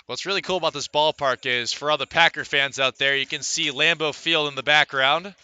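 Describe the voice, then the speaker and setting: A man's voice: fairly high pitch, fairly even intonation, brisk, pushed and bright, clean tone, no room sound. A man calling a baseball game as a live radio play-by-play announcer.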